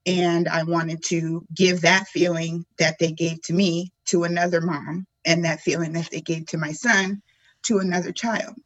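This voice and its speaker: A woman's voice: lowish voice, little pitch movement, normal speed, no echo. A young woman working as a volunteer coordinator, speaking sincerely and personally as an interview guest. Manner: sincere, personal, repeats words for emphasis.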